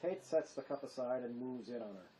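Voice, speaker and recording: A man's voice: medium pitch, expressive, fairly quick, dark tone, rough recording. A man reading stage directions as scripted narration. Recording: clear background noise.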